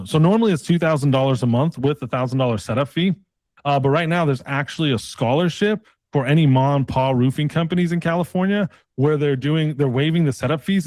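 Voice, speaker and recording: A man's voice: medium pitch, darkish tone, no room sound, poor audio. Persistent male sales coach, dry close sound. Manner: pushy, persistent, uses tag questions; casual, colloquial phrasing. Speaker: sales coach demonstrating a mock pitch. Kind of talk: sales call role-play pitch.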